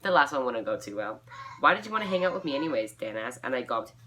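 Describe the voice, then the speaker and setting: A young woman with a very deep, bright voice reads a story aloud in an informal way. She is giggly and self-mocking, slipping out of the reading into casual asides. There is faint noise behind her. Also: sing-song, fast. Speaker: vlogger reading own fan fiction aloud.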